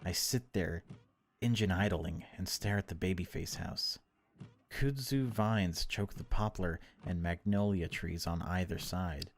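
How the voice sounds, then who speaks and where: A man narrating a horror visual novel in a measured, unhurried way, with casual asides as a gaming video host. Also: low-pitched.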